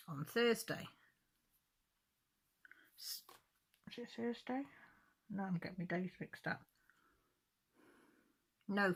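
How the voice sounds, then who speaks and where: A woman's voice: low-pitched, creaky, sharp tone. A woman chatting casually at home while crafting, rambling and correcting herself about the days, a little stuffy with a cold. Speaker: crafting vlogger.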